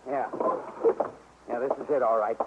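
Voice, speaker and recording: A man's voice: medium pitch, fairly even intonation, natural voice. Man playing an uneasy country fellow, noisy recording. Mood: troubled. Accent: American. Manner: halting, protesting. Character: uneasy, defensive male country neighbour under questioning. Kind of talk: mid-century radio drama acting. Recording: noisy, muffled old radio recording.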